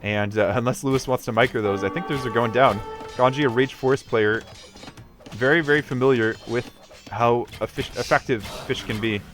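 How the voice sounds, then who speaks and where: Guy doing chill live esports game commentary, thinking out loud, kinda analytical, faint music behind. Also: mid-range voice, moderate pace.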